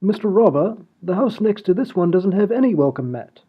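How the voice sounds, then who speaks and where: A man's voice: high-pitched, animated, a little fast, breathy edge. A man voicing a prim, polite upper-class lord in a comedy radio play. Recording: dull lo-fi audio.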